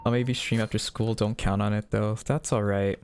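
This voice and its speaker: A man's voice: on the low side, fairly even intonation, moderate pace, a little mellow. A man live streaming in a casual, conversational way, reading viewers' messages aloud and replying to them. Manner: reads chat messages aloud and replies.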